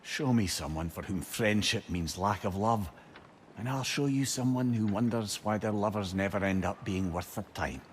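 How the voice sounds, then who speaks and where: A man's voice: fairly low pitch, moderate pace, natural voice. An actor playing a witty, wistful old male advisor with a Scottish accent, telling stories lyrically and wryly in a video game. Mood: reflective. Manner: lyrical, wry, conversational. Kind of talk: storytelling video game dialogue. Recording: ambient noise behind voice.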